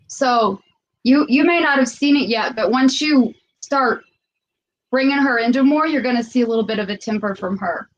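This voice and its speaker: Woman musing slowly over a haunted doll. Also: a little high, muffled recording. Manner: thoughtful, unhurried, repeats words while searching. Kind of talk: casual conversation.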